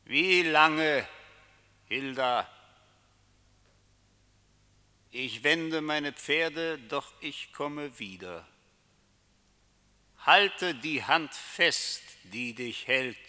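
A man's voice: mid-range voice, sharp tone, heavily acted. A man acting in a literary radio play as an uncanny, eloquent seducer, taunting and commanding with theatrical rhetorical questions. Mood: ominous. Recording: long reverberant hall.

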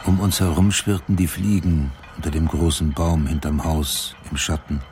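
An actor playing a quiet, brooding man narrating his memories tersely in a radio drama. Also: rumbling low, fairly even intonation, normal speed. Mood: tender, brooding. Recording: music bed behind voice.

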